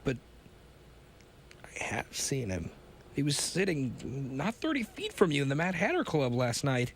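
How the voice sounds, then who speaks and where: A man voicing a nervous, disbelieving explorer who talks in a raised, halting voice. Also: on the high side, huge pitch swings, a little hiss or hum, full character voice. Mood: anxious.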